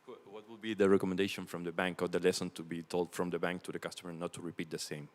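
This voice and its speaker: A very low-pitched man with a Chilean Spanish accent puts a question from the audience. He speaks haltingly, searching for words, and the long reverb of a hall surrounds his voice. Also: flat delivery. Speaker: audience member asking a question. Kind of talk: spontaneous question at a meetup.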